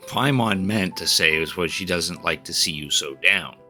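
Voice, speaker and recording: A man's voice: deep voice, moderate pace, bright, forceful tone. A man live streaming a video game, casually reading lines and commenting. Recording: faint game music behind.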